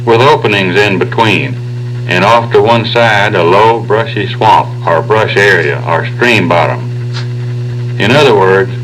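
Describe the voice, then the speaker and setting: A man giving measured, formal scripted instruction as a hunting guide. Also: fairly low pitch, fairly bright tone. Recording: old noisy tape with hum.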